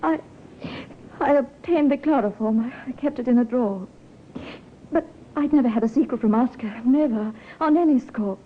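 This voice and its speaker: An actress playing a composed, scheming young widow in a 1950s radio drama, with halting, theatrical delivery. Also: female voice, a little high, close to an everyday voice. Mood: distressed, confessing. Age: young adult. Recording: tinny, hissy 1950s radio recording.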